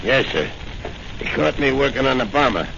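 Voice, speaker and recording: An actor playing a rough, slangy gangster henchman in 1940s radio serial style. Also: mid-range voice, animated, normal speed. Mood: reporting. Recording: muffled, very noisy old radio recording.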